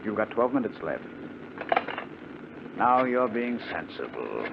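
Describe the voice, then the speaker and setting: A man's voice: lowish voice, big pitch swings, slow pace, creaky, exaggerated voice. A man playing a ruthless, coolly threatening gang leader in a 1950s radio drama, with a clipped, commanding way of talking. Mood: cold menace. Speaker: radio actor. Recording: noisy muffled 1950s radio broadcast.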